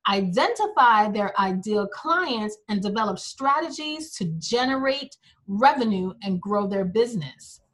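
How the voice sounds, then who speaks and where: A woman's voice: a little high, pushed and bright, low-quality recording. A woman hosting a podcast interview, upbeat and chatty, laughing easily with her guest. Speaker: podcast host.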